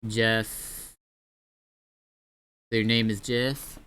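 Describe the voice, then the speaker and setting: Male game streamer, clear forceful voice, casual roleplay chat, bossy, sweary. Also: lowish voice, level tone, extremely slow. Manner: foul-mouthed, bossy, giving orders. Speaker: live streamer playing online roleplay game.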